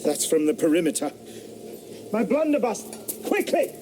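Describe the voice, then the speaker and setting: An actor playing an excitable 18th-century squire and scientist who gives urgent orders, in a radio drama. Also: male voice, high voice, moderate pace, slight background noise. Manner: urgent, commanding, intense.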